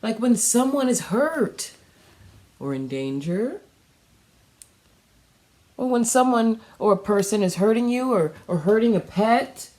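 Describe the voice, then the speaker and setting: A woman's voice: fairly high pitch, animated, a little slow, long pauses, darkish tone, pitched above the performer's own voice. A woman voicing a kindly, patient pig schoolteacher in a children's storybook, earnest and reassuring, explaining things carefully. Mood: earnest, instructive.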